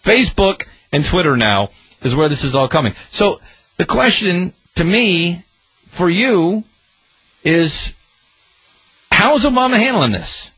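A man's voice: sits high, sing-song, slow pace, pauses often, bright, forceful tone, slightly rough, dry recording, dull audio. A male talk radio host commenting on politics in a sarcastic, mocking way.

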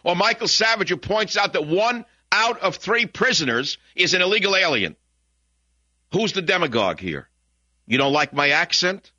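An older man with a Bronx New York accent hosting a talk radio show, ranting combatively and swinging between muttering and shouting into a studio mic. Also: high-pitched, big pitch swings, moderate pace, no room sound. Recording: dull, lo-fi, dry broadcast audio.

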